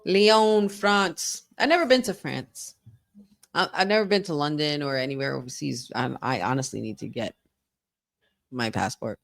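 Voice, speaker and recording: Chatty excitable woman, lowish voice. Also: normal speed, gravelly. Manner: excitable, chatty fan talk with frequent "like". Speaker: podcast co-host. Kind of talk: casual watch-along commentary.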